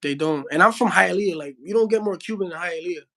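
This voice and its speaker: Young Miami heavyweight, Spanish first language, high voice, slangy casual interview talk full of restarts. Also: male voice, a little fast, gravelly, bright, forceful tone. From Miami, Florida. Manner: slangy, says bro a lot, frequent restarts. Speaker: heavyweight boxer.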